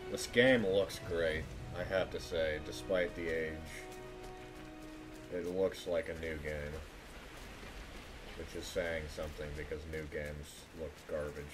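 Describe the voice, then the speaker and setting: A man's voice: extremely low pitch, steady tone, unhurried, music in the background. A man streaming a game with casual, playful, dryly joking live commentary. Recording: game sound and noise behind voice. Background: video game ambience.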